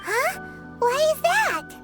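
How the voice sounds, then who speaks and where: A woman voicing a small, childlike fairy companion in a video game, squeaky, curious and cheeky. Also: big pitch swings, takes it slowly, over loud music, heavily acted.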